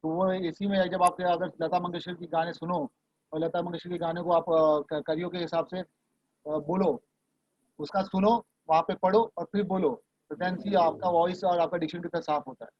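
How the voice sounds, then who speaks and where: High-voiced man coaching over a video call. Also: steady tone, moderate pace, no room sound. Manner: casual, mixes Hindi and English, coaxing and reassuring. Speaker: voice and dubbing trainer. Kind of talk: online class coaching. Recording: dull, lo-fi video call audio.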